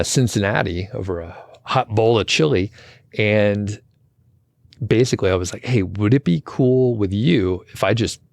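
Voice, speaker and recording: Man, podcast host, low voice, a bit gravelly, rambling chatty tangents, dry close sound. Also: no echo. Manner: rambling, self-deprecating, tangent-prone. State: slightly gravelly from overuse. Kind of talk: casual solo intro chat.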